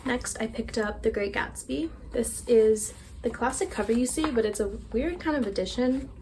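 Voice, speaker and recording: A woman's voice: on the high side, normal speed, darkish tone, a little hiss or hum. Chatty young woman rambling about books. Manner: chatty, rambling, self-deprecating. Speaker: book vlogger. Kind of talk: casual chat.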